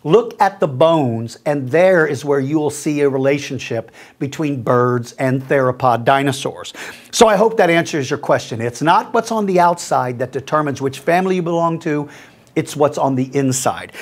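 A man in his fifties with a gruff, slightly rough voice, answering viewer questions about dinosaurs to camera in a small studio, friendly and expressive. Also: a little high, normal speed. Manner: friendly, expressive, gestures while talking. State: gruff, rough voice after speaking at a school. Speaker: dinosaur educator and host. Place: small studio with mottled backdrop.

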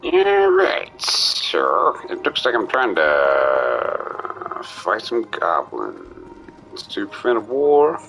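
A man's voice: on the low side, wildly swooping pitch, very slow, creaky, a bit bright, soft background music, low-quality recording. A man streaming a game with casual commentary, musing aloud and breaking into playful theatrical outbursts. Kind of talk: casual live gameplay commentary.

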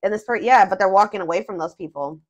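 A woman giving a casual tarot reading off camera, thinking aloud with fillers and restarts, her voice a little hoarse. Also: medium pitch, fast, muffled recording. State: voice going hoarse. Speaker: tarot reader.

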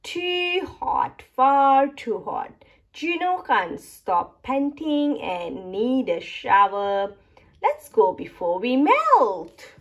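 Lady doing an excited little kid space explorer from a storybook, high and bouncy, full of wonder. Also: extremely slow, highs cut off, heavily acted. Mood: overheated. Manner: exclamatory, wonder-filled, playful. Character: excitable young child space explorer in picture book.

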